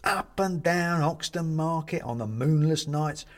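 A man's voice: on the high side, unhurried, pitched above the performer's own voice, close to an everyday voice. A man voicing a jovial, fussy china-figurine ghost in a storybook, chatty and hearty with old-fashioned British turns of phrase. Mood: cheerful, reassuring. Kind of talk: storybook character voice.

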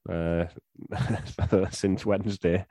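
A man's voice: sits low, steady tone, drawn-out words, dark tone, no echo. A man, bunged up from flu, chatting on a football podcast with dry self-mocking humour. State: stuffed up from flu. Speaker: podcast co-host. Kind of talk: casual football podcast chat.

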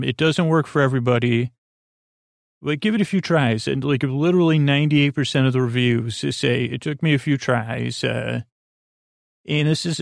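A man hosting a sleep podcast, rambling softly with many fillers and restarts in a lulling, creaky voice. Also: mid-range voice, dry recording, studio quality. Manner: meandering, halting, full of fillers and restarts; soft, lulling, unhurried.

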